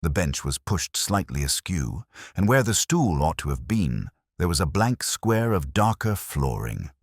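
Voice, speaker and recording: An extremely deep male voice reads mystery fiction as a measured narrator. The delivery moves widely in pitch, with a slightly husky grain and a very dry, close sound. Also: sing-song, no echo. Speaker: audiobook narrator. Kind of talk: scripted literary mystery narration.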